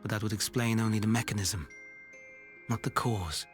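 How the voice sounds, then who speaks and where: A man playing a grave, learned ancient scholar in a game cutscene, speaking in a formal, measured and analytical way. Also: fairly low pitch, music in the background, lightly acted. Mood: grave, thoughtful. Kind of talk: video game cutscene acting.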